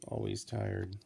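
A hoarse, tired middle-aged man rambling slowly in a late-night video diary, coughing now and then, recorded close on a laptop camera in a small room. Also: fairly low pitch, warm tone, slight background noise. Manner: slow, tired, rambling with many fillers. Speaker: vlogger. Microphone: laptop or phone camera close to face.

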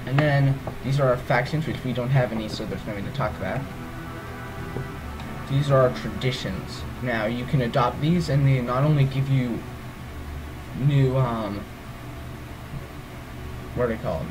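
A man's voice: mid-range voice, normal speed, pauses often, over music, low-quality recording. A man with a groggy voice walking through a strategy game's menus, hesitant and rambling, full of ums and self-corrections. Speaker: let's play gamer. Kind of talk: casual gameplay walkthrough.

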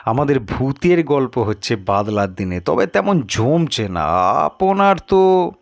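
A man's voice: mid-range voice, very animated, slow, gravelly, no room sound, heavily acted. Man acting sociable legal clerk, audio story, eager, respectful, dry sound. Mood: eager welcome. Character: sociable male lawyer's clerk welcoming an elder guest.

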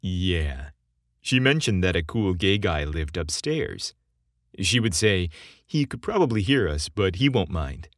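Man playing a deep-voiced easygoing frat bro. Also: very animated, unhurried, no echo, clean studio sound, exaggerated voice. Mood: amused, teasing. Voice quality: deep, sexy tone. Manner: casual bro slang. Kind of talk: audio story character dialogue.

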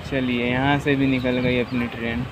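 A man's voice: flat delivery, normal speed. A young man in his twenties, chatting casually to viewers as a travel vlogger from a moving train's doorway, phone held at arm's length. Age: 20s. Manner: chatty, addresses viewers as brother and guys. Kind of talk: casual travel vlog commentary. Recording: loud train noise. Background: loud train rumble and wind.

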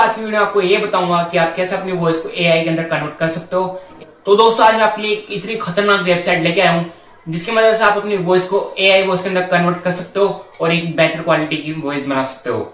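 A man's voice: high voice, fast talker, a bit bright. A young man presenting a tech tutorial as an online video host. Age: young adult. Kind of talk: tech tutorial to camera and screen.

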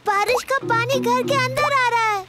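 A performer voicing a young girl piglet, excitable, sweet and cheeky, in an expressive children's cartoon dub. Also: very high-pitched, normal speed. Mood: worried. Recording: music behind the voice.

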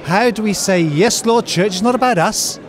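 A man's voice: sits high, normal speed. A middle-aged man answering an interviewer emphatically, with rhetorical questions, in a large church hall. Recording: crowd chatter behind the voice.

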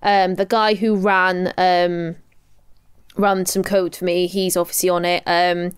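A woman's voice: lowish voice, level tone, slow, smooth, dry recording, studio quality. A young woman with a Welsh accent chatting casually as a podcast co-host. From Wales. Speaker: podcast co-host and astrophysics researcher. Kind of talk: casual chat.

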